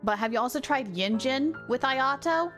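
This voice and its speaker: A woman livestreamer chatting casually over a game, with an excitable, gushing manner. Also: high-pitched, normal speed, soft background music. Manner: excitable, gushing, baby-talks to her dog. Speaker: live game streamer. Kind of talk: casual livestream chat while gaming. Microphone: streamer's mic, wearing headphones.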